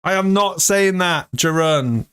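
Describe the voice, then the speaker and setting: A man's voice: high-pitched, a little slow, fairly bright tone, clear, resonant tone. Laughing, jokey man in his thirties. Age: 30s. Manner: jokey, laughs often, chatty. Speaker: live stream host. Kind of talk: casual live-stream chat. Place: hot room indoors.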